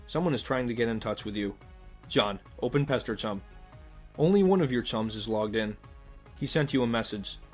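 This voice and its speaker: Wry male narrator over soft music. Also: medium pitch, lively intonation, normal speed. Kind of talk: scripted comic narration in second person.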